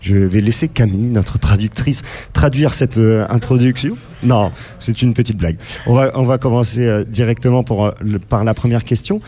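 A man's voice: medium pitch, normal speed, warm tone. A man who interviews a guest, reading an introduction and asking questions with many hesitations.

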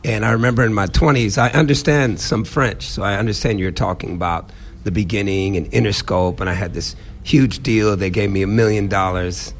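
A man's voice: fairly low pitch, normal speed, a little hiss or hum. A middle-aged man from the United States, a musician answering interview questions in a reflective, emphatic way. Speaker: musician guest.

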